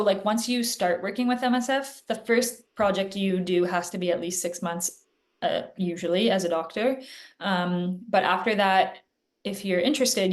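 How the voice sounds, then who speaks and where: A woman physician answering interview questions in a casual, chatty way, often pausing with "like" and "um", heard over a video call. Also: fairly low pitch. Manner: chatty, filler-heavy, frequent "like" and "um". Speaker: guest physician.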